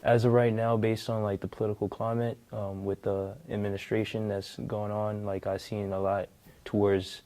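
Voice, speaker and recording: A young man from New York and New Jersey answers interview questions informally. His voice is mellow and relaxed, with little movement in pitch. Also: normal speed. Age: young adult. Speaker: student interviewee.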